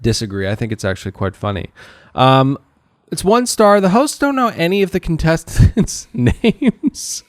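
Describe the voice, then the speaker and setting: A man's voice: fairly high pitch, very animated, moderate pace, no room sound, studio quality. A man hosting a casual comedy podcast, joking and delivering mock-formal announcements. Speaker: podcast host.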